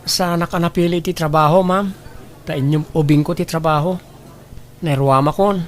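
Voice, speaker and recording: A woman's voice: deep voice, fairly even intonation, normal speed, close to an everyday voice. A woman playing a timid, hard-working youngster in a radio drama, speaking in a deferential, pleading manner. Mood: respectful, shy. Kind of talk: Ilocano radio drama acting.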